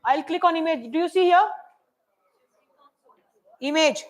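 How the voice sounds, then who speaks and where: A soft-spoken woman with an Indian English accent, teaching a hands-on AI workshop and checking in with the room often. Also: very high-pitched, unhurried. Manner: chatty, checks in often with "right?" and "okay?". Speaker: AI workshop presenter.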